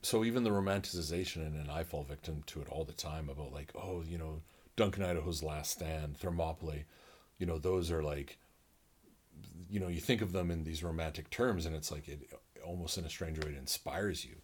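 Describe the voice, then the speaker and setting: A man's voice: a bass voice, brisk, breathy edge. A North American man co-hosting a podcast, talking casually and enthusiastically with lots of fillers. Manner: rambling, enthusiastic, heavy use of fillers. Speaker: podcast co-host. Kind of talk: casual conversation.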